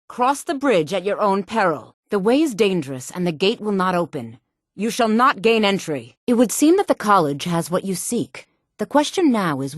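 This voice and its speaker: An actress playing a proud, composed female senior wizard in a fantasy video game, with a sultry voice and formal, measured, lofty delivery. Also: lowish voice, no room sound, studio quality. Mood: formal, guarded.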